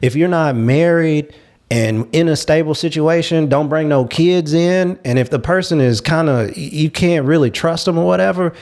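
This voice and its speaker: A man's voice: fairly high pitch, moderate pace, darkish tone. A man hosting a casual panel discussion, giving advice in an earnest, emphatic way. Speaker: talk show host.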